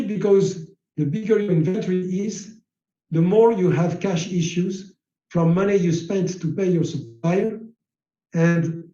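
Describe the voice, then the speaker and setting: French-native male lecturer, mellow tone, halting delivery with fillers, echoey room. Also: sits high, lo-fi sound. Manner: slow, halting, many uh fillers. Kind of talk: slide-based lecture.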